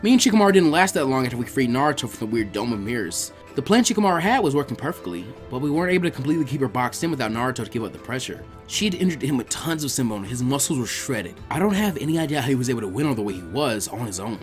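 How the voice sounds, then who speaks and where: Frank, weary teenage ninja girl for fiction reading, done by a man, earnest, brisk delivery. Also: medium pitch, very animated, faint music behind, lightly acted. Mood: earnest recounting.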